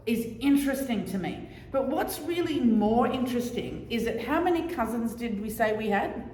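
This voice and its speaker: A middle-aged woman giving a loud, chatty, joking talk to a society audience, repeating her key points. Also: fairly high pitch, animated, moderate pace, slight background noise. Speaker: guest speaker on oral history. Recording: echoey hall.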